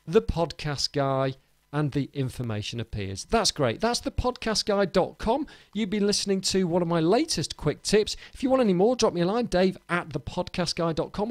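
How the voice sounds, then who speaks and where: A man's voice: fairly high pitch, dry recording. A man giving an informal screencast tutorial in a chirpy, emphatic, chatty presenter's manner. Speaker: podcast host giving a tutorial.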